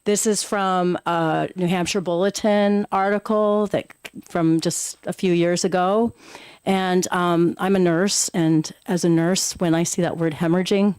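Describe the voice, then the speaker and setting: A woman who works as a nurse, testifying at a committee hearing in a loud, earnest and urgent way. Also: lowish voice, steady tone, measured pace, clean tone, no room sound. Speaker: nurse giving public testimony. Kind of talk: public testimony. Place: legislative committee hearing room.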